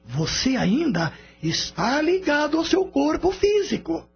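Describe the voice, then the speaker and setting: A man's voice: very high voice, wildly swooping pitch, gravelly, slight background noise, exaggerated voice. An actor playing a serene older male spirit with a Brazilian accent, patiently explaining in a radio drama. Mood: serene, instructive. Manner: didactic, measured explaining. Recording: dull lo-fi radio recording with music. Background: music.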